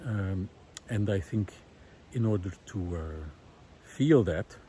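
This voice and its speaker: A man's voice: fairly low pitch, takes it slowly, mellow. A man thinking aloud earnestly and hesitantly as he walks through a forest, in a reflective, unscripted vlog style. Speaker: vlogger, environmental researcher and former visual artist. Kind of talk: spontaneous spoken musings while walking. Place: outdoors, walking in a forest. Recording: outdoor ambient noise. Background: forest ambience.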